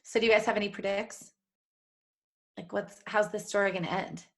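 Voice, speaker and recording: A woman's voice: mid-range voice, fairly even intonation, normal speed, creaky. A woman co-hosting a casual comedic podcast, playfully steering her friends along with questions. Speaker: podcast co-host. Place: recorded remotely over a video call.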